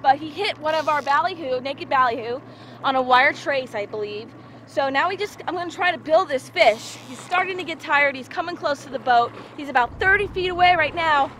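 A woman in her thirties from Florida giving excited fishing commentary to the camera from an open boat at sea, bubbly and exclamatory. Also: high voice, brisk, slight background noise. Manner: bubbly, exclamatory, talks to viewers as guys. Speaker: fishing vlogger. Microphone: action camera held close.

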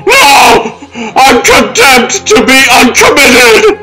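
A man's voice: very high voice, very animated, very slow, voice pushed up, full character voice, rough recording. A man voicing a crazed, theatrical zealot from an asylum in a comic dub, with music behind. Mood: wailing despair. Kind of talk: comic-book dub acting. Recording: music under the voice.

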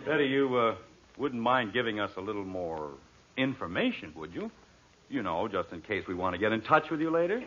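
A man's voice: lowish voice, big pitch swings, brisk, lo-fi sound, heavy background noise. An actor playing a wry, exasperated middle-aged father, sarcastic and authoritative, in a 1940s radio comedy on a vintage recording. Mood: sarcastic, probing.

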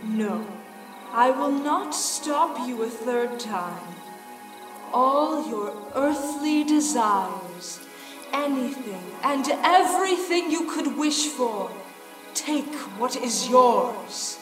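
Very slow, echoing ancient flower spirit. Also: female voice, high voice, lively intonation, full character voice. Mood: cold, taunting. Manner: slow, deliberate, imperious. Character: ancient magical flower, cold, menacing, bargain-making female spirit. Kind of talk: audio play character acting. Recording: long echoing reverb, music underneath. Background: music.

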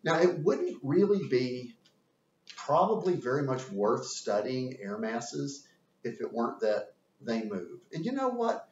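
A man with a light Southern US accent explains things in a folksy, animated teaching manner, his voice swinging widely in pitch. He is captured from a distance by a camera in an echoey room, and the sound is somewhat dull. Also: measured pace, a little hiss or hum. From American South. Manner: animated, folksy, gesturing with hands. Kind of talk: classroom lecture. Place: indoor room with whiteboard.

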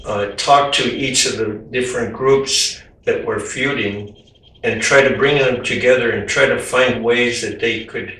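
An older man speaks gently and haltingly, pausing with "uh" and restarting his thoughts as he talks about his own artwork. He sits some way from the microphone in a very echoey room at home. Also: medium pitch, distant mic, a little hiss or hum. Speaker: artist. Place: home room with windows, indoors.

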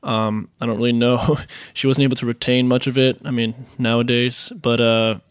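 Smooth-voiced man, halting and relaxed. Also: medium pitch, dry recording, studio quality. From Queens, New York. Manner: relaxed, halting, many fillers and restarts. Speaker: restaurant managing partner, guest. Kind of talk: casual interview answers.

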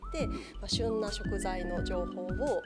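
A woman's voice: mid-range voice, lively intonation, measured pace. A middle-aged woman, a radio personality, answering interview questions politely and articulately with music behind her. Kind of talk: polite conversational self-introduction and answers. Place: meeting room indoors. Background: loud background music.

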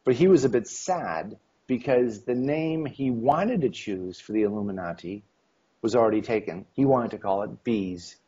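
A middle-aged man with a husky voice and a slight cold speaks as an interview guest over a video call. His talk rambles, full of ums, and he acts out quoted dialogue. The sound is dull and lo-fi. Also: on the low side, normal speed, warm tone. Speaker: interview guest, esoteric history author. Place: home room on video call.

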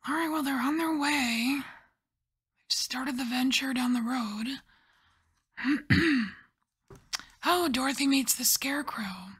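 A woman softly whispering and musing as she lays out and reads tarot cards in an ASMR style. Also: high-pitched, breathy edge. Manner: soft whispering, musing and unhurried. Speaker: tarot reader.

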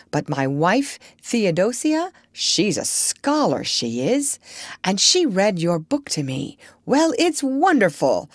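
A scheming, working-class storybook meat peddler, played by a woman reader, chatty and folksy with rough grammar. His sales talk leaps up and down in pitch as he coaxes. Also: no echo, clean studio sound, heavily acted. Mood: admiring. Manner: folksy, persuasive, ungrammatical. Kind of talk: children's storybook character reading.

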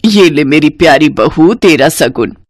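A woman's voice: low voice, lively intonation, unhurried, no echo, voice pushed down. A woman voicing a kindly older mother-in-law in a cartoon story, with affectionate, motherly talk. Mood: doting. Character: kindly, doting older mother-in-law welcoming her family.

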